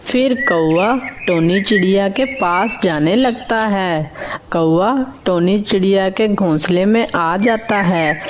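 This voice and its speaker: A woman's voice: medium pitch, slow pace, a little hiss or hum. A woman narrating a scripted children's story.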